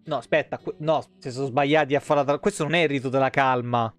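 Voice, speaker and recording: A man in his thirties with a Roman Italian accent reads game lore aloud on a live stream, expressive and informal, dropping into casual, sweary commentary. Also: medium pitch, quick pace. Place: indoor room, home streaming setup. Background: background music with guitar.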